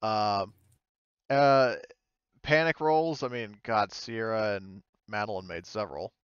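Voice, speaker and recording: A man's voice: fairly low pitch, takes it slowly, clear, resonant tone, no room sound, dull audio. A man talking casually as game master of a tabletop game, easygoing and prone to swearing.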